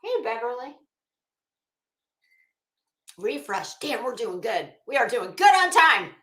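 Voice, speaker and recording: A woman's voice: on the high side, big pitch swings, takes it slowly, fairly bright tone. A middle-aged woman chatting casually as a livestream host, greeting viewers with teasing, salty banter. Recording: echoey room.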